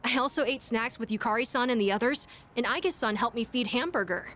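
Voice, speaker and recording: A woman playing an earnest, gentle young schoolboy in a video game, speaking politely and thoughtfully with some hesitation. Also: a little high, a little fast, slight background noise, close to an everyday voice. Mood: earnest, warm. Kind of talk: English video game voice acting.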